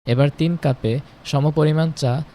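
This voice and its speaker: A man's voice: on the high side, steady tone, a little slow, dark tone, slight background noise. A man reading a scripted, story-like voice-over for a home cooking video. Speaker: home cooking channel narrator.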